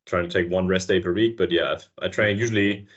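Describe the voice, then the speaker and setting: A man guest on a podcast who explains his work fluently and step by step, in a relaxed, conversational way. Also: deep voice, quick pace. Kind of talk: casual interview chat. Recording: dull lo-fi sound.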